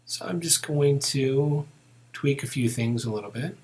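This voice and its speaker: A man explaining a 3D modeling task step by step in a relaxed, methodical tutorial voice. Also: mid-range voice, measured pace. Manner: relaxed, methodical, narrating each step as he works. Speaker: software tutorial instructor. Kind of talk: screen-recorded software tutorial narration.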